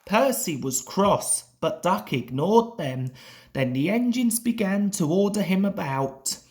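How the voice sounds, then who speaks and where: A woman's voice: mid-range voice, animated, slow, a little mellow. A woman reading a children's story aloud as scripted narration.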